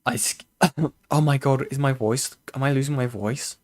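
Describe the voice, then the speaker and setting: Man, mid-pitched voice, blunt and profane, scoffing commentary. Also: steady tone, normal speed. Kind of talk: casual stream commentary.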